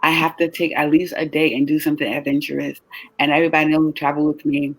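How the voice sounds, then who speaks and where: Tired woman with a raspy, gravelly voice. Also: deep voice, fast, no echo, poor audio. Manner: lively, talks with her hands in her voice, leaning on questions to the listener. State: tired, short on sleep. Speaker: travel business owner and host. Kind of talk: casual chat.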